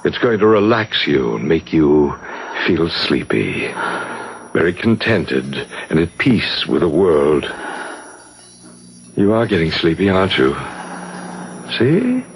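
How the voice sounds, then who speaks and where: An actor playing a commanding, sinister cult leader in a 1970s radio drama, solemn, measured and hypnotic, on an old broadcast. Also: male voice, sits low, big pitch swings, slight background noise, exaggerated voice. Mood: soothing, hypnotic.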